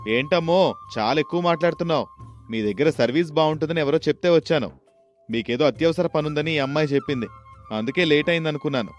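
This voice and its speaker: A man's voice: a little high, fast talker, faint music behind, close to an everyday voice. A man voicing an indignant, scolding male customer in a story.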